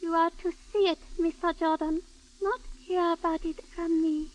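Very high-voiced, solemn servant woman. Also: little pitch movement. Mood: solemn, insistent. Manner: measured, ceremonious, stilted formal phrasing. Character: composed, formal Chinese servant woman of an old doctor. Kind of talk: 1950s radio drama acting. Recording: dull vintage radio recording.

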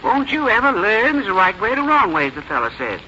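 Brisk older American farm mother, old radio. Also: medium pitch, very animated, pushed and bright. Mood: brisk, fussy. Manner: homespun, matter-of-fact rural turns of phrase. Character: brisk, fussy older farm mother, fond but bossy. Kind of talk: 1950s American radio drama acting. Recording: muffled, noisy old radio broadcast recording.

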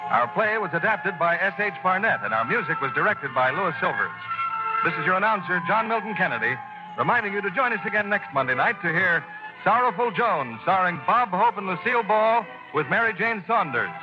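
A male announcer reads credits in the formal, measured manner of 1940s network radio. His voice sits high. The old broadcast sounds dull and lo-fi, with orchestral music clearly underneath. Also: big pitch swings.

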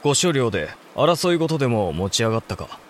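A man's voice: mid-range voice, very animated, fairly quick, a little hiss or hum, natural voice. A man playing a thoughtful samurai clan head in a Japanese historical audio drama, formal and composed. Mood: surprised.

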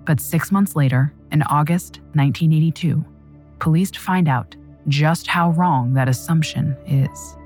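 A woman narrating a true crime story in a measured, dramatic scripted style. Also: sits low, a little mellow, faint music behind.

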